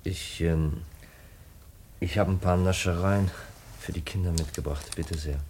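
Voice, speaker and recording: An actor playing an earnest, brooding young man in a 1950s German radio play, with halting, reflective and intense delivery. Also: low voice, fairly even intonation, darkish tone, a little hiss or hum. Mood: polite, probing. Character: earnest, brooding young nephew searching for his dead uncle's secret. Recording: 1950s radio drama recording.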